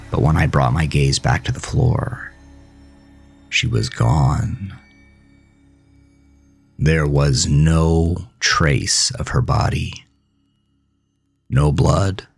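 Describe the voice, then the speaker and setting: A man narrating a horror story in the first person, with a somber, deliberate, dramatic delivery. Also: very deep voice, gravelly, breathy edge. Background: music.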